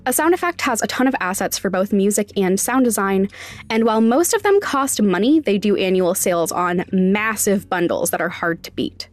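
A young adult woman hosting a podcast, narrating conversationally in a candid, informal, wryly self-deprecating way. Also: medium pitch. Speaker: podcast host and sound designer.

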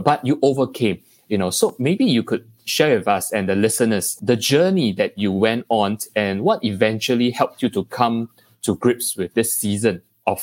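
A man's voice: fairly low pitch, no echo. A man hosting a podcast interview, polite and formal, thanking his guest often. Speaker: podcast host.

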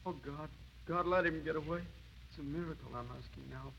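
A high, anguished young lieutenant. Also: male voice, steady tone, fairly quick, creaky, fairly bright tone, slightly rough, highs cut off, heavily acted. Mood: anguished prayer. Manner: pleading, overwrought. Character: anguished, guilt-ridden young male lieutenant. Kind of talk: 1930s radio drama acting. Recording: vintage 1930s radio broadcast recording.

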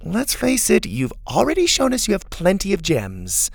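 A caring, sensible young woman as a male narrator puts her on reasons gently in a raised pitch. The storybook voice is casual and persuasive. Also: wildly swooping pitch, moderate pace, gravelly, dry recording, clean studio sound, full character voice.

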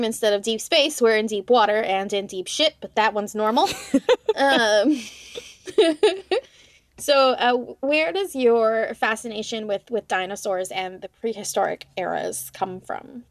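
A woman's voice: mid-range voice, a little slow, dry recording. A woman speaking as a podcast host and interviewer. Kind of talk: scripted podcast introduction, then casual interview chat.

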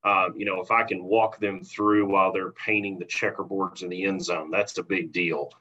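An American man speaks in a fairly low voice with a bright, forceful edge. He explains things agreeably and conversationally, as one professional to another. The audio is somewhat dull and lo-fi. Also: flat delivery, normal speed. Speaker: college athletics sponsorship professional.

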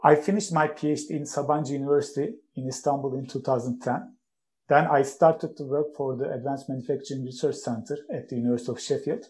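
This is a man, answering interview questions about his work. His voice is mellow and warm rather than bright. He speaks in a measured, explanatory way and sometimes restarts a phrase. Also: mid-range voice, low-quality recording. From Turkey. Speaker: guest, company founder.

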